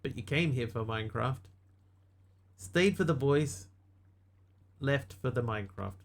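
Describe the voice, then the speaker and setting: A man's voice: medium pitch, measured pace. Dry-humored New Zealand man streaming. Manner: dry, self-mocking, chatty asides to viewers. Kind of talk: casual live-stream commentary while gaming.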